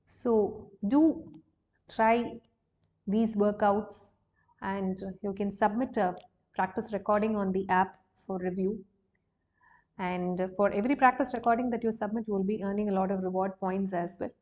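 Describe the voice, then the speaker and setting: A woman's voice: medium pitch, fairly even intonation, a little slow, a little mellow, dull audio. A woman with an Indian accent teaching a singing lesson, explaining exercises step by step. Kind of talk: instructional lesson with sung demonstrations.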